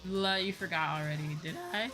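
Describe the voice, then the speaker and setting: Music plays clearly behind a woman with a fairly low-set voice. She talks like a livestreamer in the middle of a game, casual and informal. Her speech rambles into asides and is peppered with swearing. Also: a little fast. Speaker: livestreamer, VTuber host. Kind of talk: casual chatty gaming stream talk.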